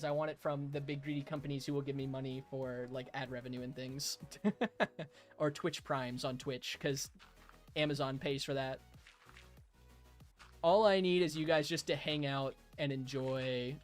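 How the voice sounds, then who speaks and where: A hoarse young man live-streaming a game, chatting casually with viewers, chuckling and thanking them. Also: a little high, steady tone, normal speed, faint music behind. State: hoarse, raspy throat.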